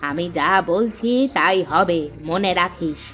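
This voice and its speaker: A woman's voice: on the low side, slow pace, fairly bright tone, soft background music. A woman voicing a proud, quarrelsome elderly mother-in-law in a cartoon, scolding and commanding. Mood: indignant, commanding. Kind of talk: Bengali cartoon dub acting.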